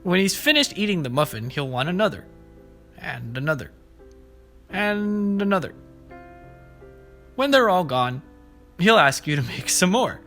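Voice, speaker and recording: A man's voice: high voice, sharp tone. A man hosting preschool story time, reading aloud and explaining things simply and expressively to young children. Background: background music.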